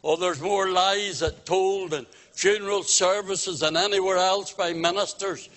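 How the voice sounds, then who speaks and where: Slow, high-pitched preacher man. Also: steady tone, a bit bright. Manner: solemn, exhorting, with deliberate phrasing and repeated direct appeals. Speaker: evangelist preacher. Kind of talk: evangelistic gospel sermon. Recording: long reverb of a meeting hall.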